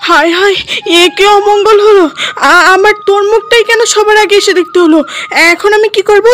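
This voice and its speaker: A woman's voice: very high voice, fairly even intonation, a little fast, fairly bright tone, slight background noise, voice pushed up. A woman voicing a humble, anxious woodcutter father in a cartoon folk tale, fretful and pleading. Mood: distraught.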